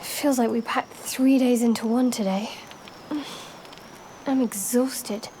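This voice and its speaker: A woman's voice: a little high, steady tone, takes it slowly, mellow, low-quality recording. A woman playing a tired, guarded adventurer in a video game, conversational, dry and understated. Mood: tired, wry. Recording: faint background noise.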